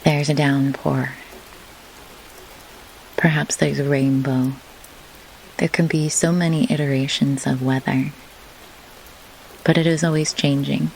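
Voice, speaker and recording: Low, slow woman guiding meditation over rain. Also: low-quality recording. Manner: measured, deliberate phrasing with pauses. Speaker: grief doula, guided meditation host. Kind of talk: slow guided meditation instruction. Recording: clear pink-noise rain hiss behind. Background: pink noise like steady rain.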